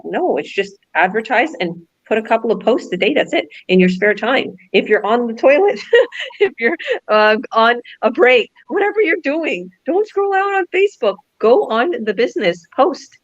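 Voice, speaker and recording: A woman's voice: on the high side, animated, normal speed, no room sound, muffled recording. Emphatic woman coaching online sellers. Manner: emphatic, repeats key phrases. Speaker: business coach host. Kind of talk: motivational coaching talk.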